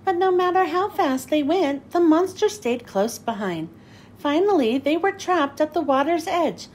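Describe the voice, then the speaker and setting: A woman reading a children's storybook aloud in an expressive, animated, emphatic way. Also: high-pitched, a little slow, slight background noise. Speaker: children's book reader.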